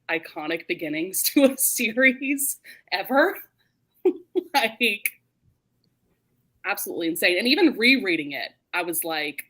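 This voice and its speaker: A woman's voice: medium pitch, a bit bright. A woman hosting a book discussion in a casual, giggly, informal way. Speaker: host of a book readalong live show.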